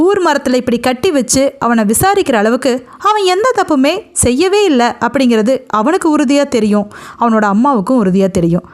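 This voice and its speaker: A woman's voice: high-pitched, animated, fairly quick. A woman telling a story as a narrator, in a dramatic spoken style.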